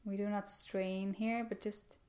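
A woman guides a meditation in a gentle, calm voice. Her pitch sits in the middle and moves very little, giving an even, steady delivery. She gives simple instructions without hurry, leaving pauses between phrases.

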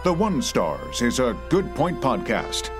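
A man's voice: mid-range voice, huge pitch swings, slow, music in the background. A man reading scripted podcast credits and announcements as the announcer.

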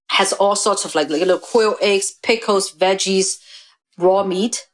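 A woman from Singapore, Hong Kong and mainland China, a chef talking casually as a podcast guest. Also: on the low side. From grew up in Singapore, Hong Kong and mainland China. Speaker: guest chef and restaurant owner.

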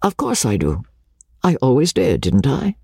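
Earnest, plain-spoken army captain, loyal older friend, for a classic storybook, read by a male narrator; husky, expressive. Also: mid-range voice, normal speed, no echo, clean studio sound, heavily acted. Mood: earnest, reproachful. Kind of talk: dramatized storybook reading.

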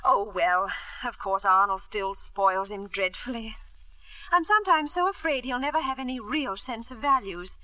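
A high, bright, close and dry voice of an anxious middle-aged wife in a 1950s radio drama. She speaks earnestly, with gentle, hesitant restraint. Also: lively intonation, normal speed, no echo, poor audio. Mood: wistful, worried.

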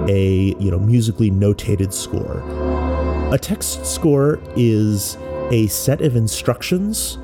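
A man who works as a musician explains experimental music in a measured, thoughtful way with pauses. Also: fairly low pitch. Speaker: musician and composer. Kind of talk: explanatory talk about making experimental music.

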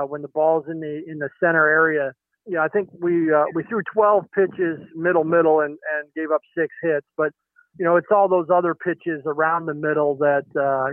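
Middle-aged man, pitching coach, phone-line sound, higher voice, halting, lots of fillers. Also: dry recording. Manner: halting, many fillers and restarts. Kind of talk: phone interview.

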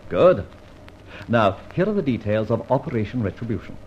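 An Australian actor playing a suave, playful gentleman thief in a 1950s radio drama, brisk and theatrical. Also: medium pitch, sing-song, close to an everyday voice. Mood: scheming, playful. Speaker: lead character. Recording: dull old radio recording, faint hiss.

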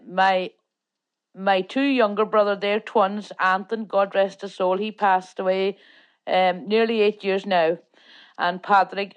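An older woman with a Donegal Irish accent, whose first language is Irish, telling stories of her childhood as an interviewee. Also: medium pitch. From Tory Island, Ireland. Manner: plain storytelling, long chains of "and". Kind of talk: oral history reminiscence.

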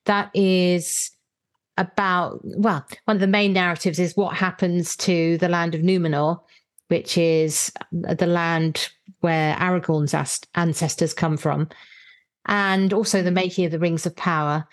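A woman's voice: lowish voice, steady tone, unhurried. A woman speaking as a podcast host, introducing and interviewing in an articulate, explanatory way.

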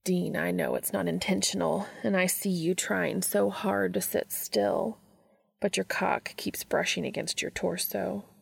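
A woman voicing a commanding, tender adult man in a romantic audio story, speaking low, slow and often in a whisper. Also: fairly even intonation, gravelly, husky, full character voice. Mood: hushed, commanding.

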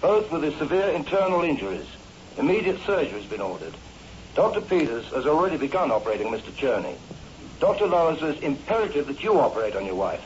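An actor playing an anxious, deferential hospital staffer reporting urgently in a 1960s radio drama. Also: male voice, on the high side, big pitch swings, fast talker. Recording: muffled old radio recording, faint noise.

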